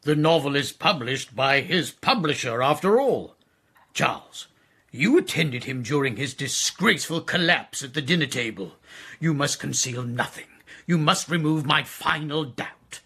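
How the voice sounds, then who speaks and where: An actor playing a blustering, indignant English duke with a pompous, aristocratic manner in a radio comedy-drama. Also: male voice, on the high side, lively intonation, unhurried, fairly bright tone, husky, heavily acted. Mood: stern, suspicious.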